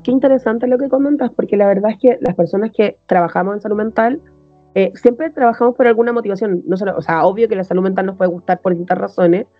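A woman's voice: mid-range voice, fast, muffled recording, soft background music. A woman hosting an interview, talking fluently and conversationally. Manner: fluent, conversational, uses colloquial fillers. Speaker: interviewer, host. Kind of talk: interview chat.

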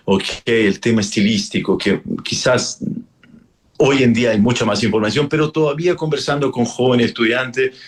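A man's voice: medium pitch, moderate pace. A man from Chile, a classical singer explaining his craft in a radio interview, thoughtful and full of fillers.

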